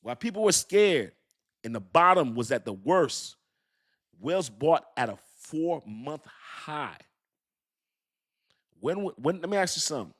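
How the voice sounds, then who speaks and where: A man's voice: fairly high pitch, very animated, measured pace, long pauses, fairly bright tone. Hyped slangy man hosting a crypto stream. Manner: hyped, repetitive, slangy, talks straight to chat. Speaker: crypto livestream host. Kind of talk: excited casual livestream talk to chat.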